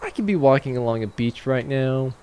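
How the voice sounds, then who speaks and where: A man playing a sarcastic, world-weary older spacefarer in a comic sci-fi audio drama, grumbling in a dry deadpan. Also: mid-range voice, brisk, slight background noise, lightly acted.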